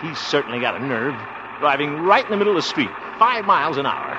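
A man's voice: on the high side, sing-song, quick pace. An actor playing a blustery, sarcastic small-town man in a 1950s radio sitcom, theatrical and easily exasperated. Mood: amused, musing. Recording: noisy, muffled 1950s radio recording.

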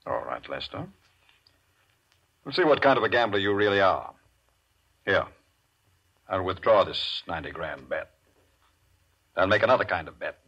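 A dull vintage radio recording of a cold, fearless gambler. He is an American man with a deep, husky voice, mocking and commanding in measured 1940s drama style. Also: sing-song, fairly bright tone, full character voice. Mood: challenging. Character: Barney, cold domineering high-stakes gambler, taunting and fearless. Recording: muffled old radio recording.

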